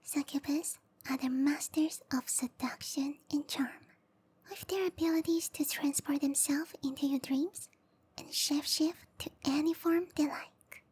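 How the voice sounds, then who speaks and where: High, whispering seductive matchmaker played by a woman. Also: steady tone, measured pace, darkish tone, low-quality recording. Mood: sultry, informative. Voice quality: breathy whisper. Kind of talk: whispered ASMR roleplay.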